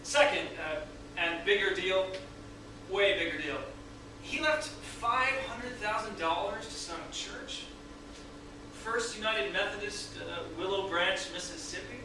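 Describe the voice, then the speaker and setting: A man's voice: high voice, unhurried. A man playing a crass, joking accountant buddy in a staged reading, with loose, profane phrasing, recorded from afar in an echoey room. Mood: casual, awkward. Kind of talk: staged screenplay reading, casual dialogue. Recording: distant, very echoey room with noise.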